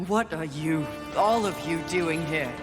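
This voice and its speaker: A woman playing a fierce, suspicious horsewoman warrior in a video game, forceful and confrontational. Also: sits low, a little slow, in a large hall. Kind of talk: video game cutscene acting. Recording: loud music behind.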